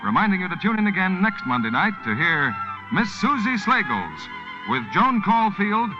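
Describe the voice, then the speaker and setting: A man's voice: sits high, very animated, moderate pace. A man announcing and reading advertisements on 1940s radio with an emphatic salesman's delivery. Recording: dull vintage radio broadcast with music.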